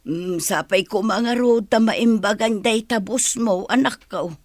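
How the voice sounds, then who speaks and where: Caring mother, played by a woman: unhurried, breathy, tender, worried, dry radio drama sound. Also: medium pitch, no room sound, close to an everyday voice. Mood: tender concern. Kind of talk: Ilocano radio drama acting.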